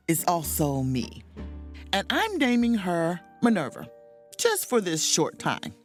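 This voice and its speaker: A woman acts a wry, overworked female starship officer trying to relax, in a romantic comedy audio drama. Her voice swings widely in pitch, lingers in its slow pace, and breaks into awkward muttered asides.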